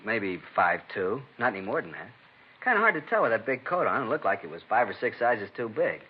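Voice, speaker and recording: An American man playing a rattled, incredulous shopkeeper and robbery victim in a 1950s radio crime drama, talkative, emphatic and halting. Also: lowish voice, big pitch swings, breathy edge. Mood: bewildered. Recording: dull, noisy vintage radio recording.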